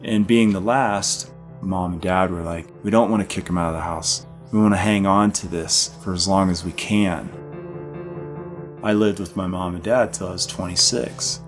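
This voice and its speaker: A middle-aged man with a very deep voice talks about himself. He is candid and plain-spoken, in a reflective documentary style. Music plays clearly behind him. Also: fairly even intonation, normal speed. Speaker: ski guide.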